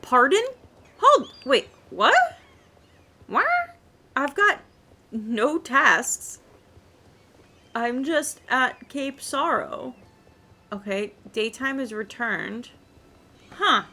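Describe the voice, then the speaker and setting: A woman giving casual, expressive gameplay commentary, chattily reacting aloud to the game as she plays. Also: sits high. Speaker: video game streamer.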